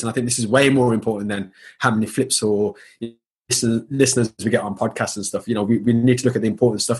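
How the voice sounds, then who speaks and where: A man's voice: mid-range voice, husky. A man talking as a guest on a podcast, reflective and sincere, with fillers like "like" and "um". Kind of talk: casual interview chat.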